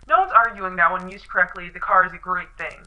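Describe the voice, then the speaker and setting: Woman as a prim, quick-talking 1920s radio guest. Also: fairly low pitch, natural voice. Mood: disapproving. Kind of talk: scripted 1920s radio show acting. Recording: dull lo-fi recording.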